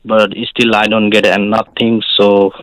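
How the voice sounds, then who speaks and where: A man's voice: on the low side, level tone, normal speed, a bit bright, dry recording, rough recording. Man from Nepal, caller, polite, hesitant, dry close sound.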